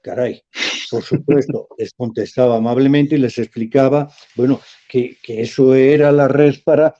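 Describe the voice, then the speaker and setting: A man, a professor speaking as a guest in a radio interview, measured and emphatic. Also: medium pitch, steady tone, mellow, muffled recording.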